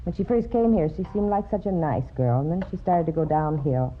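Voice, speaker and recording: A woman's voice: low-pitched, fairly quick, faint music behind, natural voice. A woman playing a prim, gossipy boarding house landlady in a radio drama, fussy, precise and disapproving. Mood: prim, chatty. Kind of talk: old-time radio drama acting. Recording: dull, faintly noisy vintage radio recording.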